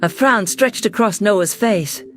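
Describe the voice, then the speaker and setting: High-voiced male sci-fi narrator. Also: a little slow, fairly bright tone, faint music behind. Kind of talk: first-person sci-fi audiobook narration.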